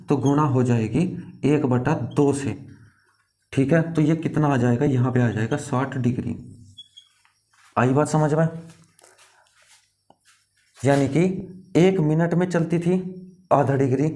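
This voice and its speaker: Male tutor, relaxed dark timbre, instructive, repeats key phrases and checks that the learner follows. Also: on the high side. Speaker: teacher. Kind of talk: step-by-step exam lesson.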